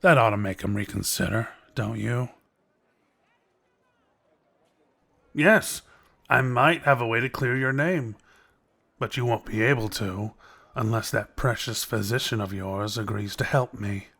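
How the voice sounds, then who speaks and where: An actor playing a sly, knowing quest-giver in a fantasy audio roleplay, measured and teasing as he persuades the listener. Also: lowish voice, gravelly, a bit bright. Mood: amused, persuasive.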